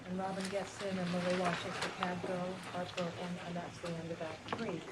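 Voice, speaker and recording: A woman's voice: fairly low pitch, little pitch movement, fairly quick. A woman giving scripted narration, reading stage directions at a table read. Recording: noisy, distant mic, live room.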